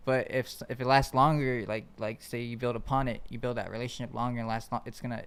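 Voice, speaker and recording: Fast-talking young man, clear voice. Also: mid-range voice, little pitch movement. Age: 20s. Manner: slangy, fast, repeats "like" often. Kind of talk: casual podcast chat.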